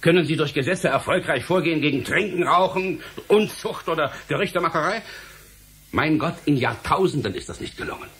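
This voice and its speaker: An actor playing a stern, exasperated government official in a radio play, lecturing in an emphatic, theatrical, rhetorical way. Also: male voice, a little high, fairly quick, a bit bright, husky. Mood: indignant, warning. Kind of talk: German radio play acting.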